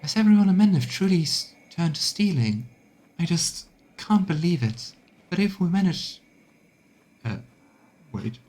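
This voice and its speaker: A man's voice: sits high, sing-song, a little slow, long pauses, darkish tone, pitched above the performer's own voice, heavily acted. A man voicing a timid, earnest, conscientious council member in a fantasy game, speaking hesitantly. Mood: hesitant dismay.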